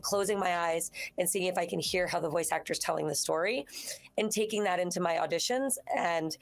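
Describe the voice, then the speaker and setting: A woman with a naturally raspy voice, a voice actress answering interview questions in a loose, rambling way. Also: lowish voice. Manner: rambling, restarts sentences, acts out her own thoughts. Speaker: voice actress guest. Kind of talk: casual interview chat.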